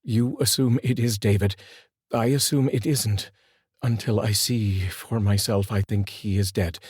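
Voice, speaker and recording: A male storyteller voicing a stern, steady husband who speaks firmly and plainly. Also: fairly low pitch, a little slow, husky, no echo, clean studio sound. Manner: firm and plain-spoken.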